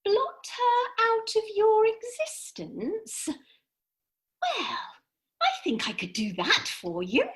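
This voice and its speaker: A woman playing a brisk, bossy fairy in a comic radio play, speaking in an emphatic, precise, lecturing way. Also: extremely high pitch, very animated, a bit bright, full character voice. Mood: brisk, cautioning. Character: brisk, bossy female fairy, lofty and theatrical.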